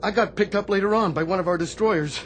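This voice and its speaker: A man plays a tormented older Navy sailor in a radio drama, crushed by survivor's guilt. He speaks in halting, anguished repetitions, with faint noise behind him. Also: sits high, lightly acted. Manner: anguished, halting, repeating himself. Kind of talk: dramatic radio theatre acting.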